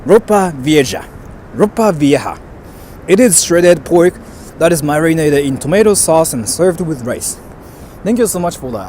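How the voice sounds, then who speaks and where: A man's voice: fairly high pitch, a little mellow. Chatty young man streaming from the street. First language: bilingual English and Japanese. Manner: chatty, rambling, reads out viewer comments. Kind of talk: casual live-stream chat. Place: outdoors on a city street. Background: faint street and traffic noise.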